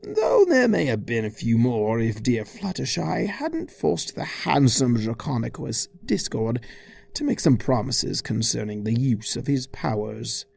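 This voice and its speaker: A man's voice: medium pitch, big pitch swings, a little slow, vocal fry, darkish tone, husky. A man reading a scripted comic story as narrator, in an arch, theatrical, mock-formal manner. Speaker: fan fiction reader.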